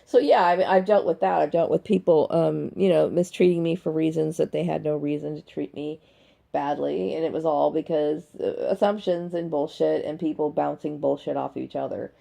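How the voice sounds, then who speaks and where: A woman in her forties with a dry, gravelly voice, talking blunt and unscripted in a personal video, punctuating her points with "okay?". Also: sits low, steady tone, normal speed, dark tone. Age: 40s. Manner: blunt, emphatic, often checking with "okay?". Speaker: video blogger. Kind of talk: unscripted personal monologue to camera. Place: bedroom at home.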